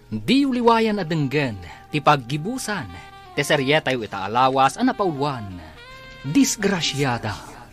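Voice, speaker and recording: Slow, dramatic Filipino male radio announcer. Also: fairly high pitch. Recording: radio broadcast with music behind. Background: music.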